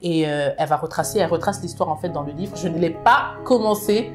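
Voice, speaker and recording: A woman's voice: on the low side, brisk, faint music behind. A woman from France, a book club founder, speaking earnestly in a casual interview, often restarting her sentences. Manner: earnest, frequent restarts and affirming "exactement".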